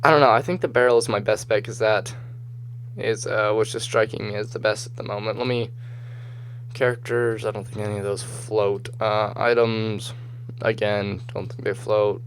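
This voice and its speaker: Male gamer, low and slightly hoarse, casual thinking-aloud commentary recorded over game footage. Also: steady tone, moderate pace, slight background noise, rough recording. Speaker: gamer, host of a gameplay video series.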